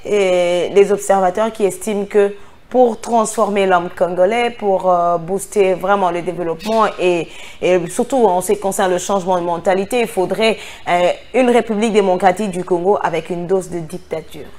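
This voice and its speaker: A woman's voice: medium pitch, fairly even intonation, moderate pace, darkish tone. A woman hosting a studio interview, asking probing and challenging questions.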